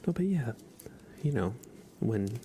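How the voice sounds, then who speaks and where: A man hosting an ASMR livestream, talking softly and intimately to his chat and often slipping into whispers, close to the microphone. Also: mid-range voice, very animated, slight background noise. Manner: gentle, drawn-out delivery slipping into whispers.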